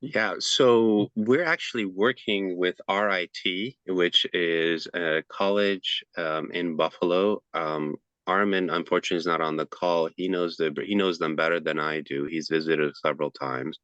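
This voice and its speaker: Deep-voiced man giving a chatty presentation. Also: fairly even intonation, a little slow, few pauses, fairly bright tone, dry recording, muffled recording. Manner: loose and chatty, with many fillers and restarts. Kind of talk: casual conference presentation.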